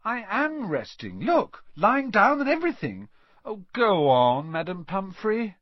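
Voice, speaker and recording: A man acts out a young schoolboy wizard in an audiobook. He is earnest and inquisitive, with a raised, slightly husky voice and persistent, thoughtful questioning. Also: sits high, very animated, a little slow, no room sound, lo-fi sound, pitched above the performer's own voice, heavily acted. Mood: pleading. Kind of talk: audiobook character voice.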